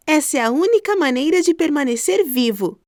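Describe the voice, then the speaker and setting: A woman voicing a loving mother pig in a children's cartoon, motherly and earnest with a creaky edge. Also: high-pitched, lively intonation, a little fast, a little mellow, no room sound, clean studio sound. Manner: solemn, motherly. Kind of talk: children's cartoon character acting.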